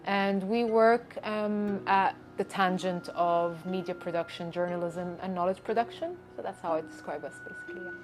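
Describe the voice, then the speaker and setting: A woman from Syria, deputy director of a media outlet, speaking in a measured, reflective way in an interview to camera. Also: on the low side. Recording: music bed under voice.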